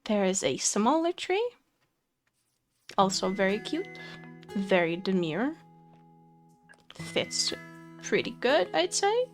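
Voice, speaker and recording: Slow-talking young woman. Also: medium pitch, soft background music. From Finland. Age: young adult. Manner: relaxed, chatty, frequent fillers and soft laughs. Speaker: Minecraft let's play creator. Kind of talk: casual gameplay commentary.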